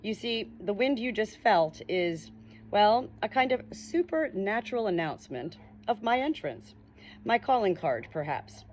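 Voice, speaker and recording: A woman's voice: medium pitch, sing-song, a little mellow, a little hiss or hum, pitched above the performer's own voice, poor audio. A woman voicing a creepy, smug male ghost in an audiobook, condescending and theatrical, drawing out his words. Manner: condescending, drawn-out, dramatic delivery.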